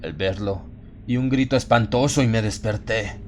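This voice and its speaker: A man's voice: on the low side, moderate pace, noisy background, natural voice. A man playing a haunted narrator slipping into exalted, fanatical madness in a solemn, theatrical horror audiobook. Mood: brooding, confessional. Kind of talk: dramatic first-person horror audiobook narration.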